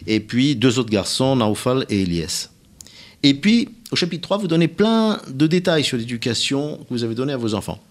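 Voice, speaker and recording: A man hosting a radio interview, putting long, deliberate, prepared questions to his guest with some hesitation. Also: medium pitch, very animated. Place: radio studio.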